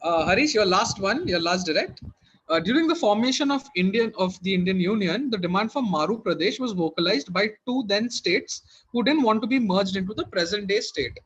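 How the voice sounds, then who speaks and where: A man's voice: high-pitched, animated, a little fast, fairly bright tone, slightly rough, dull audio. A man working as a quizmaster, reading out questions and hosting in a conversational way.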